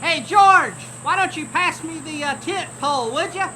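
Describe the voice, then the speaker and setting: A man's voice: extremely high pitch, moderate pace, noisy background, pitched above the performer's own voice. A man playing a cheerful, folksy, friendly camper in a children's storybook role-play, chatty and exclamatory. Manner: chirpy, exclamatory, gently chatty. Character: cheerful folksy friendly man in the yellow hat, camping companion.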